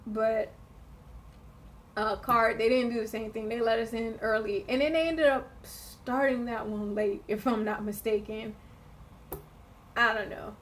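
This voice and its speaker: A woman in a casual vlog, her voice slightly hoarse from screaming, chatting in a rambling way with lots of fillers and restarts. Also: a little high, slight background noise. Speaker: vlogger.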